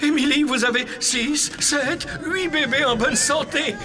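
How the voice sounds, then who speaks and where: A man voicing an excitable animal doctor in a children's cartoon, encouraging and exclamatory. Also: extremely high pitch, unhurried, over a noisy crowd, very noisy. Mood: delighted.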